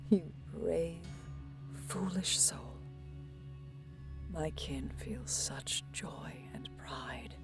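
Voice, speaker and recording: A grave elven seeress, slow and hushed, breathing out her warnings. She sounds cautious and foreboding in a science fantasy audio drama with a musical score. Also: low voice, vocal fry, in a whisper, over music, full character voice. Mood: sorrowful, tender. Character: solemn female elf seer, cautious, foreboding lover of a warrior.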